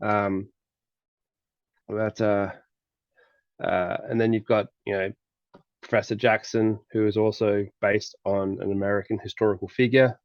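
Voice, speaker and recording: An Australian man co-hosting a fan podcast, chatting casually about books in a halting, hesitant way. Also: on the low side, little pitch movement, no room sound, dull audio. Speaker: podcast co-host. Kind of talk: casual book chat.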